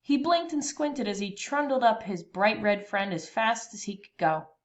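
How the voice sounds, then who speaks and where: A woman reading a children's storybook aloud expressively, with dramatic, deliberate pauses between phrases. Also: medium pitch, expressive.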